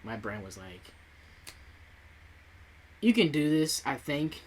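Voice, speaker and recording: A man's voice: on the high side, sing-song, slow, background noise. A young man co-hosting a podcast in casual chat, talking hesitantly and leaning heavily on filler words. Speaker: podcast co-host.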